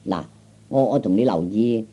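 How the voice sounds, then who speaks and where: A man's voice: lowish voice, normal speed, dark tone, natural voice. A man playing a mild, conciliatory fellow with a Cantonese accent, speaking soothingly in old radio comedy style. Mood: apologetic. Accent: Cantonese, Hong Kong or Guangdong. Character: mild, conciliatory grown man, slow peacemaker. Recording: dull old 1960s comedy record.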